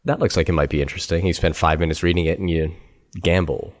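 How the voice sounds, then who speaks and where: Deep-voiced man hosting an interview. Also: fairly even intonation, fast talker. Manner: friendly, talkative, filler-heavy. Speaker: podcast host, interviewer. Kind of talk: casual interview chat.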